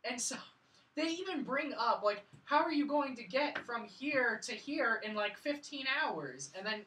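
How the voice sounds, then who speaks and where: A woman's voice: on the high side, lively intonation, unhurried, fairly bright tone, a little hiss or hum. A woman hosting a casual film review chat, talking emphatically and repeating points to drive them home. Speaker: podcast host reviewing films. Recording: echoey room, distant from mic.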